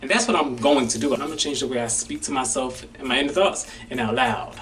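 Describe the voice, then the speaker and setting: A man's voice: mid-range voice, fast, far from the mic, a little hiss or hum. A young man, a DJ, talking casually and animatedly to camera, calling his viewers y'all. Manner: animated, chatty, says y'all a lot. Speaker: DJ and vlogger.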